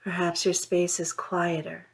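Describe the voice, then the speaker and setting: A woman leading a guided mindfulness meditation, speaking in a soothing, unhurried way with long pauses between phrases. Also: lowish voice, monotone. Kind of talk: guided meditation, gentle instruction.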